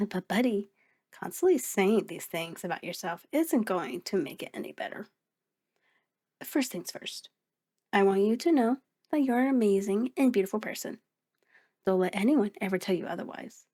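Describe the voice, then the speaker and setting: A woman voicing a kind, playful mirror reflection in an ASMR roleplay, speaking gently and encouragingly with hesitant pauses. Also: mid-range voice, breathy edge. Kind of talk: ASMR roleplay, addressing the listener.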